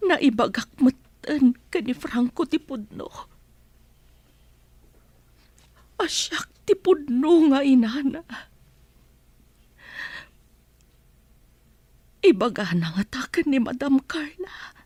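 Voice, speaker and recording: A woman playing an anguished mother pleading with her grown son in a tearful, drawn-out way, in Ilocano-accented radio drama style. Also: sits high, a little mellow, no room sound. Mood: pleading, grieving. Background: faint background music.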